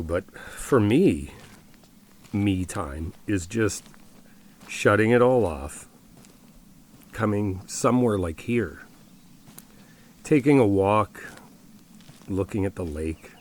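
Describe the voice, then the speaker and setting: A man playing a caring, laid-back best friend in an ASMR roleplay, speaking conversationally with hesitant, reflective pauses. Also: sits low, animated, darkish tone, heavily acted. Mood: earnest, reassuring.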